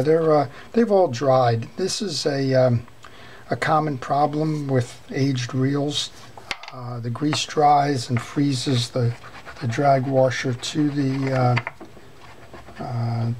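Unhurried man explaining a reel repair. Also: medium pitch, darkish tone, a little hiss or hum. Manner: unhurried, many fillers and restarts. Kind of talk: hands-on repair tutorial. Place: workbench in a workshop.

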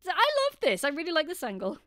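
A woman's voice: high voice, huge pitch swings, fast talker, fairly bright tone, no room sound, studio quality. A woman streaming a casual let's play, rambling and throwing in reactive asides as game music plays behind her. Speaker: streamer reading all characters.

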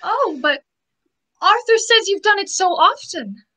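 Very high-pitched, fearful young bride. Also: female voice, animated, unhurried, bright, forceful tone, full character voice. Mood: naive, hopeful. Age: young adult. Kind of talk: old-time radio mystery acting. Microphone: video call. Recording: faint music behind.

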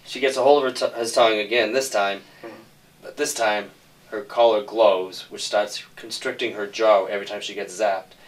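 A man's voice: on the low side, fairly even intonation, normal speed. A middle-aged man explaining a film plot in conversation as its screenwriter. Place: indoors on a sofa, group interview. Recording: distant mic with background noise.

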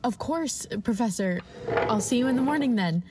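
A woman's voice: on the high side, expressive, normal speed, heavy background noise, close to an everyday voice. A woman playing a polite, wide-eyed and hesitant doctor in 1940s radio drama style.

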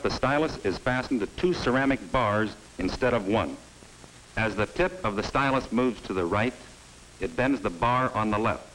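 A middle-aged man presenting a vintage promotional film in a studio, giving a measured, emphatic sales pitch. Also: a bit bright, slight background noise, poor audio. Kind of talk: scripted promotional narration. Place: studio set. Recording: old film soundtrack with faint music.

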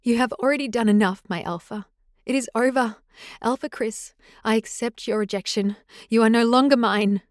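A woman's voice: a little high, normal speed, a little mellow, no echo, studio quality, close to an everyday voice. A woman voicing a heartbroken young female werewolf who pleads and lashes out in tearful outbursts, in an audiobook. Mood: defiant. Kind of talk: audiobook character voice.

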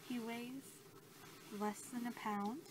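A soft-spoken young woman doing an improvised ASMR role-play as a researcher, halting and casual, recorded at arm's length in a small bedroom. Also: a little high, fairly even intonation. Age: young adult. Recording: clearly noisy home recording.

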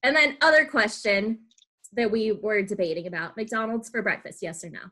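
Bright-voiced young woman hosting a podcast. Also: mid-range voice, normal speed. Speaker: podcast host and team staff member. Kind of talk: casual, chatty interviewing.

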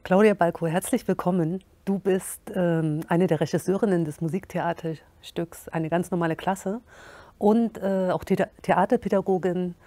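Warm, dry-voiced woman host. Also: lowish voice, steady tone, moderate pace, dry recording. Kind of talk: moderating an event interview. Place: indoor room with curtains.

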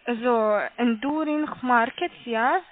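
A woman with a foreign accent, not a native German speaker, making a halting sales call as an agent over the phone. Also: fairly high pitch, dry recording. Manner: halting, hesitant, often checking with "ja". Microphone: telephone. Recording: muffled narrow phone line.

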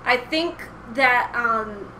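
Young woman podcast host just chatting, kinda rambly, highish voice, lots of room echo. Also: drawn-out words, pushed and bright. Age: young adult. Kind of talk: casual chat, fan discussion. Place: small home room indoors, online call. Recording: roomy, noisy headset recording.

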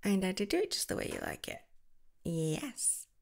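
Woman for an ASMR girlfriend roleplay: affectionate, gushing, slips into whispers, slight vocal fry. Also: mid-range voice, very animated, moderate pace.

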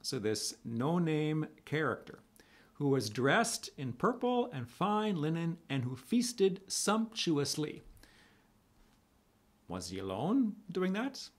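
A middle-aged man with a raspy voice, a priest giving a conversational video homily to the camera in a small room, reading scripture slowly. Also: on the high side. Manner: conversational, animated hand gestures, reads scripture slowly.

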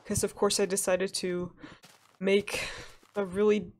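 A woman's voice: mid-range voice, little pitch movement, unhurried, a little mellow. Stuffy-nosed young woman, chatty gamer. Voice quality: stuffy nasal voice. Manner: chatty, rambling, laughs often. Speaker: let's play gamer. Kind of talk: relaxed gameplay commentary.

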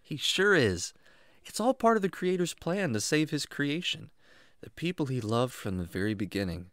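A man's voice: fairly high pitch, normal speed, no echo, clean studio sound. A man playing a kindly, enthusiastic jungle man who teaches patiently and expressively in a children's radio drama. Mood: excited, tender. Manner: expressive, reassuring, explanatory.

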